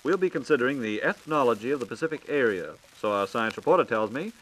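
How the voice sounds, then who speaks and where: American man, radio host, quick delivery, lively intonation, scripted 1940s style, faint noise. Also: mid-range voice, low-quality recording.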